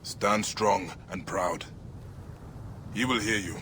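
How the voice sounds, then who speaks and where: A man playing a steady, kindly ancient Egyptian warrior and father in a video game, speaking in a measured, reassuring way. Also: deep voice, a little hiss or hum, full character voice. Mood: calm, encouraging. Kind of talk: video game cutscene acting.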